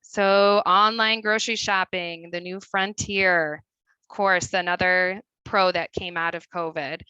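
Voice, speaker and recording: A woman with a Midwestern accent walks through her slides as a dietitian, chatty and full of fillers. Her voice is bright and crisp, sitting a little low, and the sound is dry and close. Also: steady tone, measured pace, dry recording, dull audio. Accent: Midwestern American. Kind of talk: webinar presentation. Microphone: video call.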